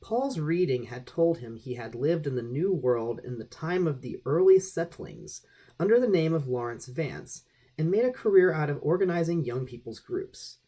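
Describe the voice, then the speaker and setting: Man narrating with lively, swooping pitch. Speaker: audiobook reader. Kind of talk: scripted narration of a vintage magazine article.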